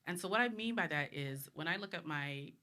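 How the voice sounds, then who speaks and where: A woman's voice: sits low, expressive, fairly quick, pushed and bright. A woman in her thirties from the Bahamas, a panelist speaking bluntly and confidently in a live discussion.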